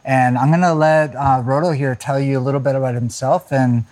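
A man's voice: mid-range voice, fairly even intonation, brisk, a little mellow. A man hosting a podcast, reading a scripted intro and then interviewing a guest outdoors with short, encouraging questions. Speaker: podcast host. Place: outdoors on a farm. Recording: music bed under the intro.

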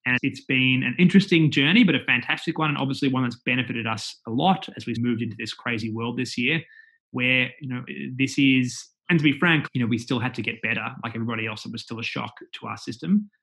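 A man's voice: medium pitch, quick pace, sharp tone, poor audio. A man with an Australian accent, a company executive talking as a guest in a casual interview, chatty and full of fillers and restarts.

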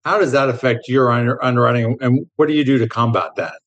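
Guy hosting a podcast, stumbles over his words sometimes, normal mid pitch. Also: steady tone, fast, highs cut off. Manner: stammers on repeated words. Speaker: podcast host.

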